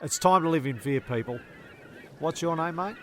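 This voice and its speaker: A man narrating and acting as a fussy, deadpan castaway in a comedic radio play, with a creaky voice. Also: a little high, moderate pace, slight background noise, close to an everyday voice.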